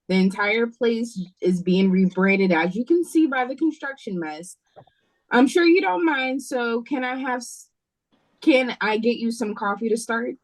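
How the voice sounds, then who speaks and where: A woman's voice: fairly high pitch, no room sound, lightly acted, rough recording. A woman with an American accent playing a sassy, wary waitress in an audio play read-through. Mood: brisk, polite. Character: sassy, wary young waitress working the night shift. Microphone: video call. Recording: close, dry video call audio.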